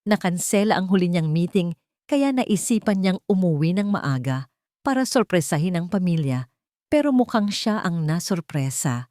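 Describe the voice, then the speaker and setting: A woman reading a scripted dramatic story in an emotive, deliberate way. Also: fairly low pitch, expressive, dark tone, dry recording, clean studio sound. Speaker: story narrator.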